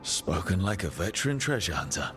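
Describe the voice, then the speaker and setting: A man voicing a curious adventurer leader who asks calm, measured, probing questions in a game cutscene. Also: deep voice, faint music behind. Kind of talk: video game cutscene dialogue.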